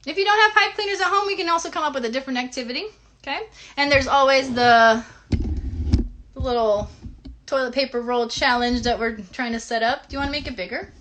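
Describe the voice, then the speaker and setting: High-voiced woman co-hosting for children. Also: brisk, a little hiss or hum. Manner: encouraging, gently instructive. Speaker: puppet show co-host. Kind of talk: casual interactive chat with children.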